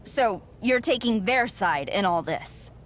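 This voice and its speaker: A woman's voice: mid-range voice, lively intonation, a little slow, close to an everyday voice. A woman playing an arrogant, condescending villainous wrestling champion in a video game. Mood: haughty. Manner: smug, condescending, boastful. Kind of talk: scripted video game cutscene acting.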